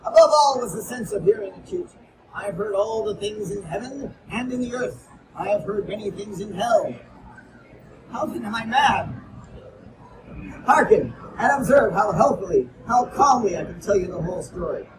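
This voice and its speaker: A man's voice: sits high, expressive, moderate pace. A man reading aloud as a nervous, insistent madman confessing his story, swinging between whispers and outbursts in a live reading. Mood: feverish. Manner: feverish, shifting from whispers to outbursts. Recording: distant mic with background noise.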